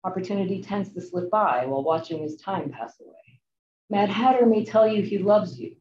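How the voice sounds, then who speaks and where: A young woman giving a rhythmic, measured poetry reading over a video call. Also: medium pitch, a little mellow. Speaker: poet reading. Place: large room with seated audience. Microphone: video call, off to the side. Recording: distant muffled echoey room audio.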